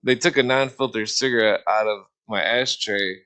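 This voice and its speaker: A man's voice: lowish voice, normal speed, sharp tone, smooth. A man chatting casually on a livestream, telling anecdotes in a rambling way with repeated phrases. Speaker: livestream talker. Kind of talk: casual chat.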